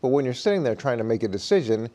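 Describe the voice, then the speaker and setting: Mellow-voiced man debating sports. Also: mid-range voice, animated. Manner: halting, repeats words as he argues. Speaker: sports panelist, football coach.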